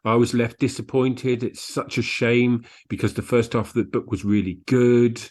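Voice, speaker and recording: A man's voice: medium pitch, steady tone, normal speed, a little mellow. A man co-hosting a book podcast, giving blunt opinions in casual discussion. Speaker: book review podcast co-host. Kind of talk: casual book discussion, sometimes reading reviews aloud.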